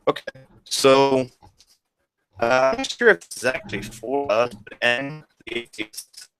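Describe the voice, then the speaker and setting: A man in his twenties hosting a podcast, asking questions in a relaxed, laid-back way. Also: medium pitch, unhurried, clear, resonant tone, faint music behind, poor audio. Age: 20s. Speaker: podcast host. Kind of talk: casual podcast chat.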